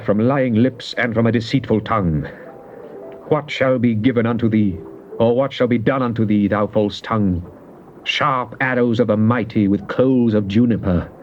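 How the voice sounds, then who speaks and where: A man's voice: medium pitch, a little mellow, natural voice. Devout psalmist played by a male actor, solemn and theatrical scripture delivery, muffled cassette sound with hiss. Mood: anguished. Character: devout psalmist pleading and praising God. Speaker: scripture reader. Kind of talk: dramatized King James Bible reading. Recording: dull, noisy old cassette tape.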